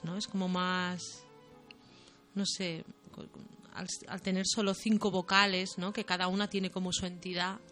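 Soft-spoken woman singer, thoughtful. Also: fairly low pitch. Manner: thoughtful, searching for words, soft. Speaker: singer, interview guest. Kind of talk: relaxed radio interview.

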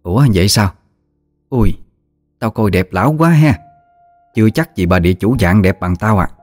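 Man voicing a blunt old Southern Vietnamese countrywoman, low-pitched. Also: expressive, normal speed, darkish tone, close to an everyday voice. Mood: amazed, chatty. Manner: blunt, exclamatory, folksy. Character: feisty old countrywoman, blunt, easily amazed, great-aunt. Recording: music behind the voice.

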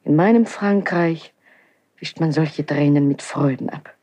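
A woman's voice: low-pitched, unhurried, mellow, dry recording. An actress playing a dignified, fervent young queen with a solemn, declamatory delivery in a classical radio drama.

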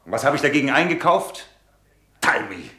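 A man's voice: mid-range voice, very animated, normal speed, sharp tone, reverberant. An actor playing a bitter, disillusioned middle-aged businessman husband in a German radio drama, with a sarcastic, theatrical way of talking. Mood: resigned, brooding. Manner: sarcastic, rhetorical, theatrical delivery.

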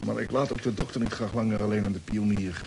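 An actor playing a concerned, decisive commander of a space fleet in a Dutch radio play, questioning and giving orders with authority. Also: male voice, lowish voice, steady tone, fast, gravelly. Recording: noisy old radio drama recording.

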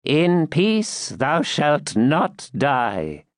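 A man voicing an unearthly spirit-like voice, broken and shrill, drawing out slow accusations. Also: huge pitch swings, no echo, studio quality, full character voice. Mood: accusing.

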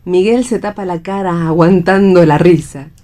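Low-voiced Argentine woman narrator. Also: moderate pace, dark tone. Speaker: narrator reading stage directions. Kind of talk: scripted narration for a radio play reading.

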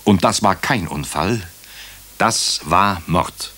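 An actor playing a brooding hospital doctor who narrates a radio crime drama in clipped, deliberate phrases. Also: male voice, deep voice, pushed and bright, full character voice. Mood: grave, measured. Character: brooding male hospital doctor recounting a murder case. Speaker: actor narrating as the lead character.

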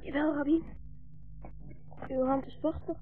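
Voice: high-pitched, steady tone, measured pace, soft background music. A performer playing a young orphaned boy, earnest, trusting and respectful, in a 1960s radio drama. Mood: anxious, obedient. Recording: dull, narrow 1960s radio recording.

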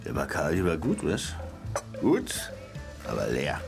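An actor playing a brusque, world-weary, sardonic Havana police lieutenant in a radio crime play, terse and colloquial. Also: male voice, a bass voice, wildly swooping pitch, a little slow, vocal fry, full character voice. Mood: drunken, agitated. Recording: music behind the voice.

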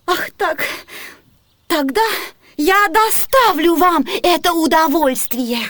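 A woman's voice: very high voice, expressive, slow, a bit bright, no room sound, studio quality, full character voice. An actress playing a melodramatic young noblewoman in a classic comedy radio play, plaintive and theatrical. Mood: pleading, desperate.

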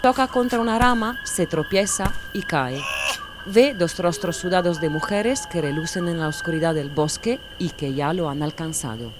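Calm, measured woman narrator. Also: on the low side, noisy background. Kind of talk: audiobook reading.

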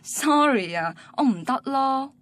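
An actress as a blunt, higher-voiced young woman. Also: animated, a little slow, a bit bright. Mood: dismissive. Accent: Hong Kong Cantonese. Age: young adult. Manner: matter-of-fact, dismissive. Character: blunt, ambitious young female student, unsentimental girlfriend. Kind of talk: radio drama acting.

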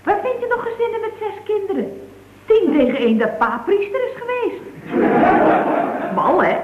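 Lively, chatty secretary, echoey old recording. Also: female voice, very high-pitched, normal speed. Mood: chatty, cheeky. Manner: chirpy, gossipy, full of tag questions. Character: chatty, cheeky female office secretary. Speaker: actress in a radio comedy serial. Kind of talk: 1970s Dutch radio comedy acting. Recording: dull, echoey, noisy vintage radio recording.

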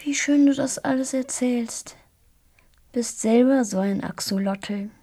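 A woman's voice: on the high side, a little slow, dark tone. An actress playing an anxious, weary woman full of dread in a radio drama. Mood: wry, subdued.

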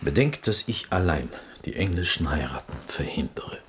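An actor playing a sly, self-important Prussian court minister in a radio play, with formal, insinuating diction. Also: male voice, low-pitched, animated, normal speed, slight background noise. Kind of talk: German radio play acting.

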